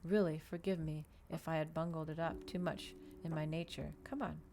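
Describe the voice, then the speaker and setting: A woman's voice: low voice, fairly even intonation, normal speed, a little mellow, a little hiss or hum. A woman voicing a composed, coaxing male vampire in a tender, mannered ASMR storybook reading.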